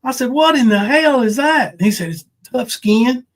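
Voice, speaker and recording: Man in his fifties, clearly high voice, podcast host, folksy banter, casual old-times chat. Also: expressive, moderate pace. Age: 50s. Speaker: podcast host, former pro wrestler.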